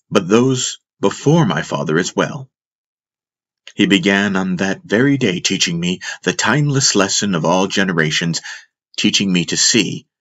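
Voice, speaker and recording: A man narrating a fantasy audiobook in a solemn, deliberate storytelling manner with dramatic emphasis. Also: sits low, a bit bright.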